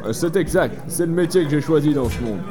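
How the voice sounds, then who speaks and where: A man's voice: a little high, animated, fairly quick, over music, natural voice. A man playing an easygoing innkeeper in a French audio drama, explaining things matter-of-factly. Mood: plain explaining. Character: easygoing innkeeper player who shuns heroics. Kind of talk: French audio fiction dialogue.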